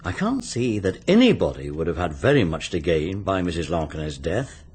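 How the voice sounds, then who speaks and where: An actor playing a matter-of-fact, sceptical investigator with an English accent, speculating drily in a radio mystery drama. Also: male voice, sits low, sing-song, moderate pace, darkish tone, breathy edge, heavily acted. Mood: dry, speculative.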